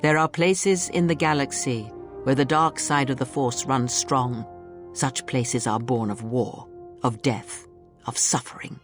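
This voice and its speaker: An imperious old female Sith master from a video game. She preaches with slow, theatrical scorn and bitter authority, over music. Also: very deep voice, steady tone. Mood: scornful, intense. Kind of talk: dramatic video game monologue. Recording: music behind.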